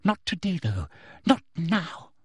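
A sinister storybook woman official, acted out by a man, speaks in a high, breathy simper. She is smug and menacing, with very lively swings of pitch. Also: slow, dry recording, studio quality, heavily acted.

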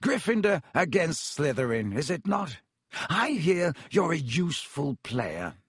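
Vain, swooping, theatrical wizard professor. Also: male voice, on the high side, big pitch swings, a little slow, slightly rough, dry recording, studio quality, pitched above the performer's own voice, full character voice. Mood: smug, condescending. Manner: pompous, self-dramatising. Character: vain, theatrical, boastful male wizard professor, self-satisfied and showy. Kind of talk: audiobook character acting.

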